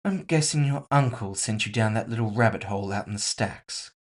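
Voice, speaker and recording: A man's voice: lowish voice, brisk, lightly acted. A man voicing a composed, villainous woman executive in audio fiction, casually polite, mocking and condescending. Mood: smug, controlled. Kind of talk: audio fiction character dialogue.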